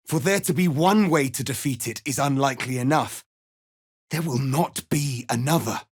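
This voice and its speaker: An actor playing a proud, stern, noble alien rebel leader in a fantasy video game, speaking formally and with deliberate, solemn authority. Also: male voice, medium pitch, breathy edge, dry recording, studio quality, lightly acted. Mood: solemn resolve. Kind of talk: dramatic fantasy game acting.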